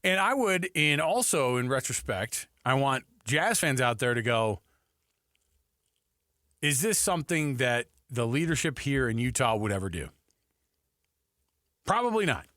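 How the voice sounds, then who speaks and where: A man's voice: medium pitch, very animated, a little slow, long pauses, a bit bright, no echo, studio quality. A man hosting a casual sports talk radio show.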